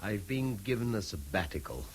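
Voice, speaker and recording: An actor playing a weary, haunted man in a radio drama, with an English accent and a grave, halting manner. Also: fairly low pitch, sing-song, slightly rough. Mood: haunted, resolute. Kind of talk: 1970s British radio drama acting.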